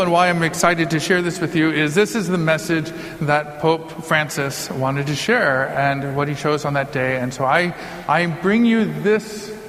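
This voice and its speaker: A man's voice: fairly high pitch, a bit bright. A man giving an informal homily as a priest, conversational and given to joking asides. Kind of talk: informal sermon. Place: large church or hall, live before a congregation. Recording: reverberant hall, long echo.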